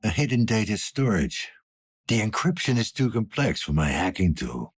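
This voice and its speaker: An actor playing a weary, gruff older police detective in a cyberpunk video game, with a gravelly, breathy rasp and a terse, dry manner. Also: male voice, on the low side, very animated, normal speed. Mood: terse, businesslike. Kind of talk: scripted game character dialogue.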